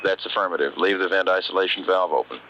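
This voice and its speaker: A man's voice: low voice, steady tone, quick pace, pushed and bright. A man working as a mission control communicator, talking to a crew over a radio link. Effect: radio transmission. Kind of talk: air-to-ground radio exchange. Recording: dull radio link with faint hiss.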